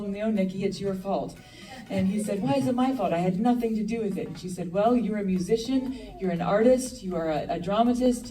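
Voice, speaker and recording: A woman with a deep, strong voice giving a dramatic reading and telling a story, swinging from quiet to big and commanding. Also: far from the mic, rough recording. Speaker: theatre director giving a reading.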